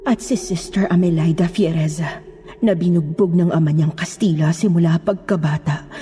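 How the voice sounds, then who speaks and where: Low, mournful ghostly nun played by a woman. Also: dark tone, breathy edge. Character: sorrowful ghostly nun, victim of cruel men. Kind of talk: horror story voice acting.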